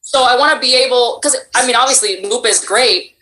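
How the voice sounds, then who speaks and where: Cuban Miami woman, bright and animated. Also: on the high side, fairly quick, faint music behind. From Miami, United States. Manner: animated and expressive, laughs often. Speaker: voice actress and creator. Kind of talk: casual interview chat. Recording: roomy echo.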